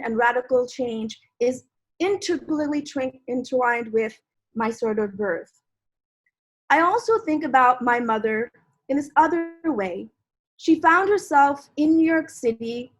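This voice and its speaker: A woman's voice: high-pitched, rough recording. A woman in her forties, born in Bangladesh, a law professor giving an earnest, reflective personal speech at an online panel. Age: 40s.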